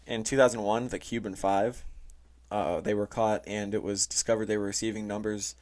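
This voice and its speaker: Male podcast host, lowish voice, informal explainer delivery, stop-and-start with lots of "like". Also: flat delivery, darkish tone. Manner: informal, halting, heavy use of "like". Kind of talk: casual explainer talk reading from notes. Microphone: close, touchy microphone.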